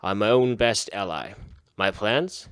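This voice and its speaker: A man's voice: fairly low pitch, measured pace, a bit bright, clear, resonant tone, no echo, studio quality. A man giving a casual playthrough commentary, reading game text aloud and muttering to himself in repeated phrases. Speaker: gaming video host.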